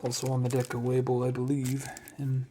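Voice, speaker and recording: A man presents his collection in a loose, unscripted way. His pitch stays fairly flat and even. He rambles a little and drifts into hesitant asides, like someone talking casually through items one by one. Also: a little fast, a little hiss or hum. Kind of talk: casual unscripted show-and-tell.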